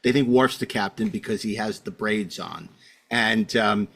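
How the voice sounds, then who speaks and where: A man's voice: fairly low pitch, measured pace, creaky, fairly bright tone, slightly rough. Opinionated man hosting a fan podcast. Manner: opinionated, bantering. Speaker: podcast host. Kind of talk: casual fan panel discussion.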